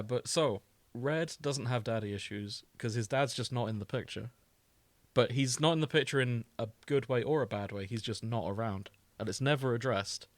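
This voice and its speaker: A man with an average-pitched voice co-hosts a casual fan podcast. He speaks in a loose, conversational, jokey way. The sound is dry, with hardly any room on it. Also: dry recording. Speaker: podcast co-host. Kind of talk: casual banter about fandom.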